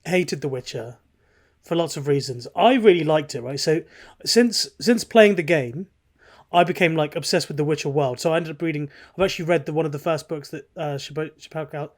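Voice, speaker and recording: A man's voice: on the high side, a little fast. A man co-hosting a podcast, giving opinions in an informal, sweary way. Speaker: podcast co-host. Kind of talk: casual, opinionated podcast chat.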